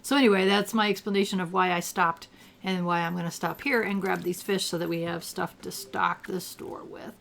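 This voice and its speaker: An older retired woman with a gravelly, allergy-roughened voice, chatting casually while she plays a game and thinks aloud. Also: fairly low pitch, fairly even intonation, moderate pace, a bit bright, slight background noise. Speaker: gameplay commentator. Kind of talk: casual gameplay commentary.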